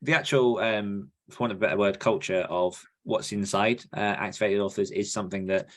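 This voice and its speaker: A man's voice: lowish voice, steady tone, brisk, fairly bright tone. A man hosting a podcast, explaining things earnestly in casual conversation. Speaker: podcast host.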